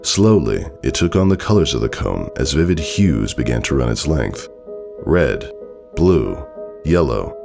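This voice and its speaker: An extremely deep-voiced man reads a story in a dramatic scripted style. His delivery swings expressively in pitch and has a creaky, gravelly edge. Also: big pitch swings, a little slow, music in the background. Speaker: fan fiction narrator.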